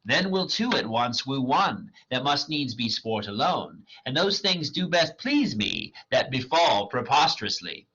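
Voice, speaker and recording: A man's voice: mid-range voice, very animated, a little slow, few pauses, bright, forceful tone, breathy edge, voice pushed up, exaggerated voice. A man reading the part of a commanding fairy king aloud. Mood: scheming. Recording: dull lo-fi recording.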